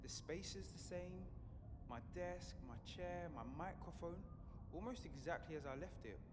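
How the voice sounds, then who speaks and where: An actor playing a brooding, conscience-stricken rebel radio broadcaster in an audio drama, intense and reflective. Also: male voice, high-pitched, normal speed, in a large hall, heavy background noise, lightly acted. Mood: reflective, subdued. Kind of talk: fiction podcast audio drama acting.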